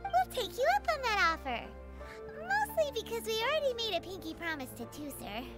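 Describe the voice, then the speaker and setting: A squeaky sassy fairy sidekick. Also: female voice, wildly swooping pitch, a little fast, heavily acted. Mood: teasing. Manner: teasing, bratty, sharp-tongued. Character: tiny female fairy companion, sassy and blunt. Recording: game audio with loud music behind.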